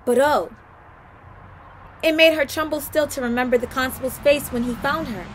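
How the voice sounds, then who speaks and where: A woman's voice: high-pitched, normal speed, a little hiss or hum. A woman reading a story aloud as a guest narrator, careful, with occasional stumbles and self-corrections.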